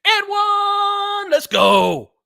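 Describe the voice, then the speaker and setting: A man's voice: very high voice, very animated, drawn-out words, vocal fry, bright, forceful tone, dry recording, clean studio sound. A man giving informal, partisan live basketball commentary as a host, reacting openly to the plays like a fan.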